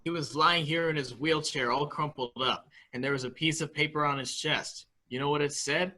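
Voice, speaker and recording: A man playing an excitable, guileless fellow who speaks with childlike eagerness in a murder mystery reading. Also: a little high, normal speed. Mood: excited. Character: excitable, guileless male household member blurting things out.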